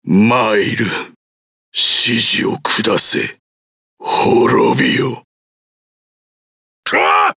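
An actor voicing a grim, tormented dark knight general in a video game, commanding and merciless, with a husky, gravelly voice. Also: male voice, mid-range voice, no room sound, clean studio sound, exaggerated voice. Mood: fierce, commanding. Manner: slow, menacing, commanding. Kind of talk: video game character voice lines.

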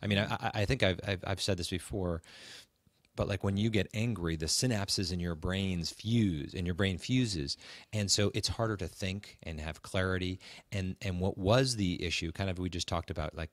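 A man with a very low voice talks as a podcast host giving advice. He is conversational and emphatic, circling back to restate each point. The sound is close and dry, with no room around it. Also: moderate pace, no echo.

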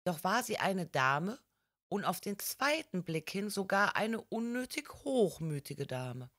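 A woman narrating a story as an audiobook reader, with careful, measured, scripted delivery. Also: medium pitch, sing-song, a bit bright, no room sound, studio quality.

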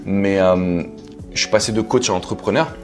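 A man's voice: low voice, moderate pace. A man, talking casually as an interview guest. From France. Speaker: interview guest, coach and entrepreneur. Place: living room, on a sofa indoors. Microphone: clip-on lapel mic. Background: background music.

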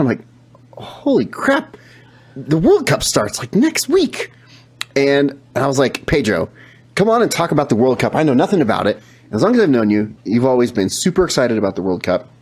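A man's voice: medium pitch, big pitch swings, a little fast, darkish tone, breathy edge. A man in his thirties with an American accent, chatting casually as a podcast host on a video call. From United States. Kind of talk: casual conversational interview.

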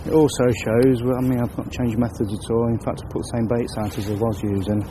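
A man calmly talking through his fishing setup outdoors on a lake bank, sometimes dropping to a hush near the water. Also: mid-range voice, fast, noisy background. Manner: explains calmly, drops to a near-whisper by the water. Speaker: angler presenting a fishing video. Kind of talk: casual on-the-bank fishing commentary.

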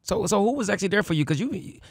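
Male radio host, young, rapid-fire, playful hype, dry close mic. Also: sits high, lively intonation, husky, dry recording. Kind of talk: casual radio interview. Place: radio studio. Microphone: studio mic on boom arm.

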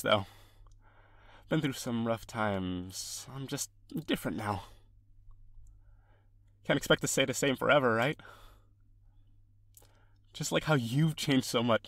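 A young man playing a friendly, sentimental old friend in an audio roleplay, casual and expressive with some hesitation. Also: mid-range voice, creaky, no room sound, clean studio sound, heavily acted. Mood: casual, reflective. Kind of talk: ASMR-style roleplay, one side of a conversation.

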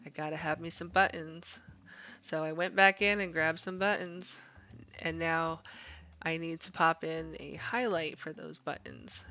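A woman's voice: on the low side, pushed and bright. A woman art instructor narrating a drawing demonstration in an informal, encouraging, conversational way. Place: voice-over to a screen recording.

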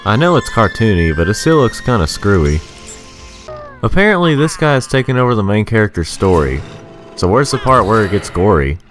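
Low-voiced man narrating in rhyme. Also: big pitch swings, fairly quick, a bit bright, faint music behind. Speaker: host of a video game review. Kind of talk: rhyming scripted game review narration.

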